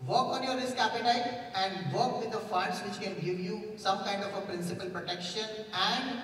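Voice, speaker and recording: A man's voice: high voice, moderate pace, a bit bright, a little hiss or hum. A man in his thirties speaking on a conference panel into a handheld mic, giving earnest advice. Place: large conference hall stage. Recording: echoey hall from far off.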